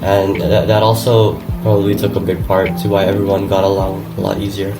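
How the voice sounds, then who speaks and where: A man's voice: sits low, flat delivery, fairly quick. A young man whose first language is Indonesian gives an earnest testimonial about his former school, with fillers and restarts. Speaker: former student. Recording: distant mic under loud music.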